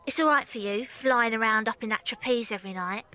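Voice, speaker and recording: A woman playing a bright-voiced, rebellious circus girl. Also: on the high side, brisk. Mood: cheeky. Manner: cheeky, informal, impulsive. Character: rebellious, dreamy young Victorian circus girl. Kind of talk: audio drama acting and first-person narration.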